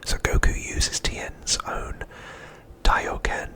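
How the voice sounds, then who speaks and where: A man narrating an episode recap as an ASMR host in a hushed, breathy, unvoiced whisper, with deliberate delivery.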